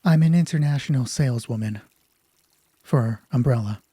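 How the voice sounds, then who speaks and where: Man voicing a guarded, husky career woman. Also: medium pitch, normal speed, mellow, no echo, close to an everyday voice. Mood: defensive. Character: weary, defensive career woman, a distracted wife.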